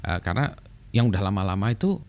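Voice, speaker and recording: A man's voice: fairly low pitch, quick pace, slight background noise. A middle-aged man with an Indonesian accent, talking casually and explaining at an easy pace, with frequent fillers. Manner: relaxed, many fillers and tag "ya". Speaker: vlogger sharing work experience.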